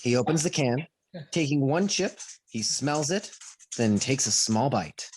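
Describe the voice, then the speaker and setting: A man's voice: mid-range voice, lively intonation, a little slow. A man reading out stage directions as scripted narration for a play over an online call. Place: home, online video call. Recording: faint noise, clicks on online call.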